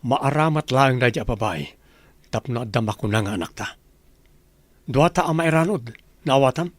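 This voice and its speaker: A man playing a cold, threatening master of the house in a radio drama, with a husky voice. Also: mid-range voice, lively intonation, normal speed, dry recording, lightly acted. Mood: menacing. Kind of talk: Ilocano radio drama acting.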